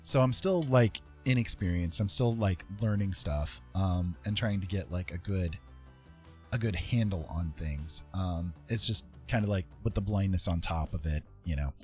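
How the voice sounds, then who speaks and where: A man's voice: deep voice, normal speed. A man chatting casually as he streams his painting, rambling and thinking aloud in a self-deprecating way. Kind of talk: casual livestream chat while painting. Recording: music in the background. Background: music.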